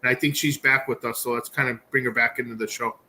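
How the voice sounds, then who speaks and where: Bright-voiced male co-host, faint hum. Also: medium pitch, steady tone. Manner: chimes in with quick agreement, "right?" tags. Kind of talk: casual financial talk show chat.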